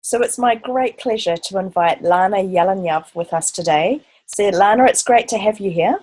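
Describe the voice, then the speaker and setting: A woman's voice: medium pitch, normal speed, darkish tone. A woman hosting an interview, prompting her guest with short questions and brief replies. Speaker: podcast host. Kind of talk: conversational interview.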